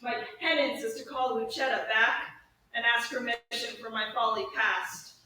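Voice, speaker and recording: A woman playing an impulsive, haughty, lovesick young gentlewoman in a theatrical Shakespearean staged reading, exclaiming and swinging between scolding and doting. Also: a little high, moderate pace. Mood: regretful, fretful. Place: echoey room at a live staged reading. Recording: echoey room, distant mic, faint noise.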